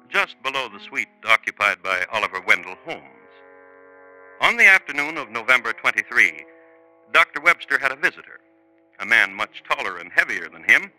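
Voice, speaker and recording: A man hosting and narrating a 1950s radio true crime show in a deliberate, formal, dramatic storytelling manner. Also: mid-range voice, sharp tone, low-quality recording. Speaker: host and narrator. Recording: vintage 1950s radio recording.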